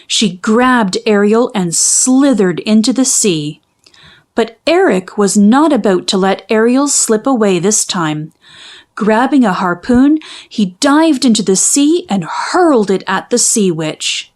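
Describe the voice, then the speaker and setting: A woman narrating a children's storybook in an expressive, measured storytelling manner. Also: mid-range voice. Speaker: children's book read-aloud narrator.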